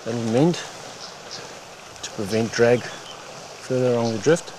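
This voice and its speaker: A man guides the listener as he fishes, speaking in unhurried phrases with pauses. His voice is medium in pitch. He is outdoors by a stream, with clear water and ambient noise behind him. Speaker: fly fishing guide. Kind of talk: instructional commentary while fishing. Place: outdoors on a wooded stream bank. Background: running water, outdoor ambience.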